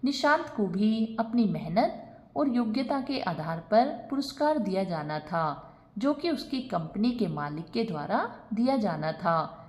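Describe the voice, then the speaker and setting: A woman's voice: medium pitch, lively intonation, measured pace. A woman narrating a scripted moral family story as a storyteller.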